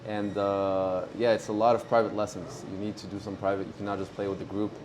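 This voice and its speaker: A man in his twenties from Morocco, a pro tennis player talking casually in an interview, relaxed and halting with many fillers. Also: on the low side, background noise. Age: 20s.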